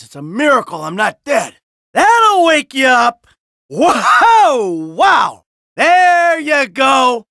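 An actor with an American accent playing a jaded, hot-tempered middle-aged criminal in a video game, sardonic, profane and exasperated. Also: male voice, very high voice, creaky, pushed and bright, no echo, studio quality, exaggerated voice. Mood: pained, sardonic, then enraged.

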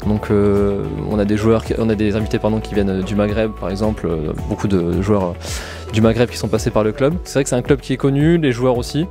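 A man's voice: lowish voice, steady tone, fairly quick, warm tone, soft background music. A young man giving an interview into a handheld mic, hesitant and correcting himself. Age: young adult. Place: small indoor locker room. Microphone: handheld interview microphone.

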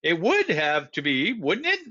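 A man's voice: fairly high pitch, huge pitch swings, measured pace, bright, forceful tone, highs cut off, heavily acted. A man voicing a prim, fussy middle-aged alien woman in a storybook reading.

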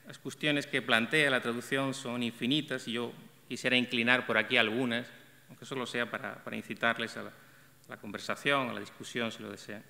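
A middle-aged man sits at a table microphone and reads a prepared essay in a measured, reflective way, now and then restarting a phrase. His voice is bright and forceful, carried by the long reverb of a large hall. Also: medium pitch, little pitch movement, vocal fry. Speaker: event coordinator presenting a talk on translation. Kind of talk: reading a prepared essay aloud. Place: arts center stage, seated at a table.

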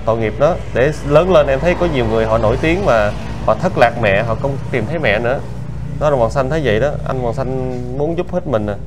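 A man's voice: mid-range voice, lively intonation, fast talker. A man with a Southern Vietnamese accent chatting casually as an interviewer, coaxing and familiar, repeating his questions. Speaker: interviewer, host of charity visit videos. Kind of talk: casual, probing street interview chat. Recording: noisy outdoor recording with traffic.

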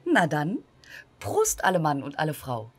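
An actress plays a sardonic, dismissive woman in a radio play. Her voice is very low for a woman and dry in manner, over a faint background ambience. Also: huge pitch swings, measured pace, full character voice. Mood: rallying. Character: scornful, dismissive woman at a singles get-together. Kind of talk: audio drama acting. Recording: faint noise and room ambience.

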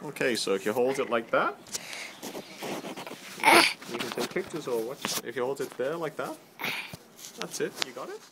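A man's voice: medium pitch, big pitch swings, moderate pace, pauses often. A middle-aged man chatting casually in short, encouraging phrases to a small child, as his father. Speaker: father filming his young son. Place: outdoors on a patio. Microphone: camera held in hand. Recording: noisy handheld home video.

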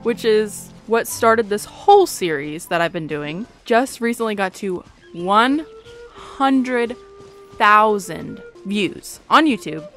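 A woman's voice: mid-range voice, expressive, slow. A young woman giving casual, playful game playthrough commentary in a gushing, self-interrupting way. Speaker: gaming video creator. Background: soft game music.

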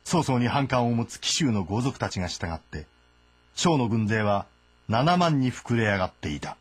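A man's voice: medium pitch, very animated, normal speed, breathy edge. A man giving dramatic scripted narration for a historical game, as its narrator.

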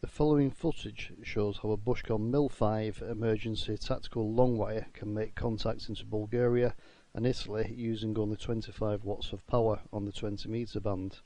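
Mellow-voiced man, low-key radio hobbyist. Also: little pitch movement, moderate pace, hardly any pauses. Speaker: amateur radio operator and narrator. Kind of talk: video narration and radio contact talk. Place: outdoors at a farm field station.

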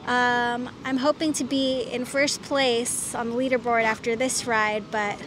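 A woman's voice: fairly high pitch, level tone, a little slow. A woman talking casually to the camera as the host of an outdoor vlog. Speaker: company employee and ride host. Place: outdoors beside the bikes. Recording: clear outdoor street and traffic noise.

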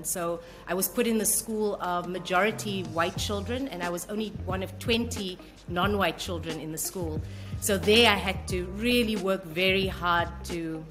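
A South African woman in her forties, telling her story earnestly and reflectively as a guest on a video call interview. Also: medium pitch. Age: 40s. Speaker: guest, television producer. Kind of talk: casual interview. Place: home room with bookshelves.

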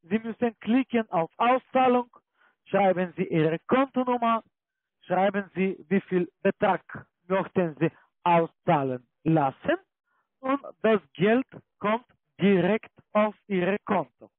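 A man with a foreign accent, not a native German speaker, giving a repetitive scripted sales pitch as a call-centre agent, often checking that he is followed. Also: expressive, slow, no echo. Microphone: telephone. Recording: muffled low-fidelity phone call.

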